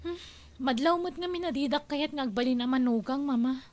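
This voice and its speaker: A woman's voice: high-pitched, brisk, a little mellow, close to an everyday voice. A woman playing a spurned, scheming young ex-lover in a radio drama, taunting and confrontational. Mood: defiant, taunting. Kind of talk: Ilocano radio drama acting.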